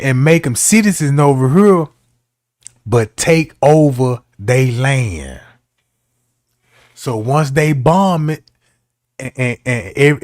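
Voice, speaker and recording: A man's voice: on the high side, a little mellow, no room sound, clean studio sound. A man giving casual commentary as a streamer, talking in a slangy, argumentative way.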